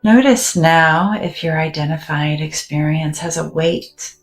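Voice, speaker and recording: A woman leading a guided meditation in a soft, gentle, soothing manner, with long pauses and moments that sink almost to a whisper. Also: deep voice, faint music behind, poor audio.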